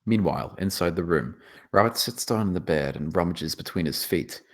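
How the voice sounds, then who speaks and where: A man's voice: sits low, brisk. A man reading scripted stage directions as the narrator of a screenplay table read.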